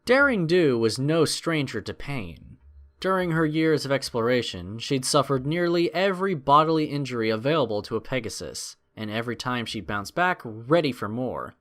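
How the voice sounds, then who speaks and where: A man's voice: medium pitch, expressive, moderate pace, fairly bright tone. A man narrating a scripted adventure story in a dramatic storytelling style.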